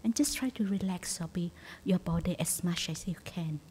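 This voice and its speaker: Breathy, near-whispering woman guiding meditation. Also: fairly low pitch. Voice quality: soft, breathy, husky, often near whisper. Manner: gentle, soothing, unhurried instruction with long pauses. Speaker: meditation teacher. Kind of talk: guided meditation.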